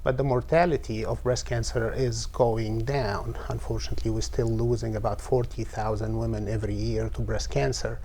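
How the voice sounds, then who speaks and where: A man's voice: medium pitch, a little mellow. A middle-aged male oncologist from Egypt, explaining steadily in an interview with occasional fillers.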